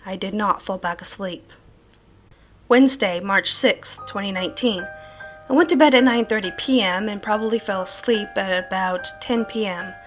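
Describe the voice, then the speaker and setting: A woman playing a troubled thirty-one-year-old, reading her sleep journal as grave, deliberate first-person horror narration. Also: mid-range voice, close to an everyday voice.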